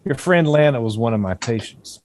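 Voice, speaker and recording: A man reading the part of a doctor in a screen drama table read. He speaks in a measured, formal way and keeps his guard up. Beneath the polite surface he is condescending and quietly menacing. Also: mid-range voice, lively intonation, slight background noise, natural voice. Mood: measured, probing. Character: smooth, veiledly menacing male doctor, defensive and condescending.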